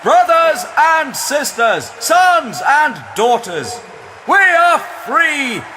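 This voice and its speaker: A man voicing a pompous middle-aged high priest, delivering grandiose, declamatory oratory to rally the masses in an audio drama. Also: sing-song, very slow, bright, forceful tone, some chatter behind, slight background noise, full character voice. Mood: fervent, triumphant. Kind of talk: shouted, drawn-out oratory.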